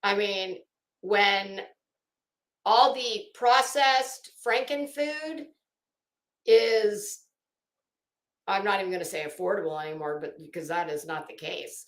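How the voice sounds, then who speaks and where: Slow-paced woman livestreamer in an echoey room. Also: medium pitch. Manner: rambling, opinionated, emphatic. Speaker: livestream host. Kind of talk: casual livestream chat with viewers.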